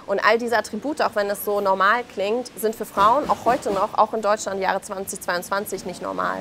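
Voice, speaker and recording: A woman's voice: mid-range voice, fairly even intonation, normal speed, noisy background. A woman in her thirties from Belarus speaking as an interview guest in an impassioned, colloquial way, often acting out quoted remarks. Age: 30s. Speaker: interview guest, author and journalist. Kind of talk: impassioned spoken interview answers.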